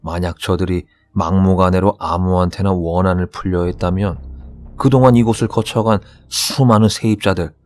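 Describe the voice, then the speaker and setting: A man's voice: sits low, fairly even intonation, normal speed, darkish tone, a little hiss or hum, lightly acted. A man playing a sly, candid shaman in a horror radio drama, speaking in a knowing, conversational way with dramatic emphasis. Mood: grave, knowing. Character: sly, candid shaman confiding about vengeful ghosts.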